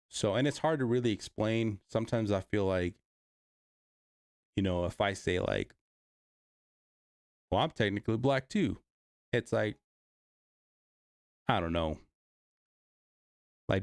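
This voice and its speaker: A man's voice: deep voice, gravelly, no room sound, studio quality. A man from the Bay Area, hosting a casual livestream, reading chat and rambling with many fillers and restarts. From Bay Area, California.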